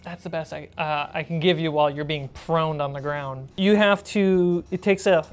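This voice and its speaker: A woman's voice: low-pitched, fairly even intonation, moderate pace, smooth. A woman acting as game master, narrating a tabletop role-playing game in a casual, conversational way. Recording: music behind the voice. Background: music.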